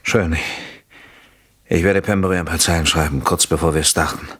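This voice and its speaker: An actor in a German radio play as an exhausted, trusting husband who sounds drugged, speaking haltingly and wearily in a raspy voice. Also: male voice, a bass voice, steady tone, fairly bright tone, heavily acted. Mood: weary. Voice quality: very husky, raspy. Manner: slow, halting, weary.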